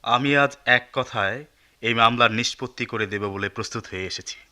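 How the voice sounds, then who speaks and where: An actor playing an earnest, righteous young man testifying in a radio courtroom drama, speaking in emphatic, deliberate phrases. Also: mid-range voice, steady tone, sharp tone. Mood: firm, impassioned.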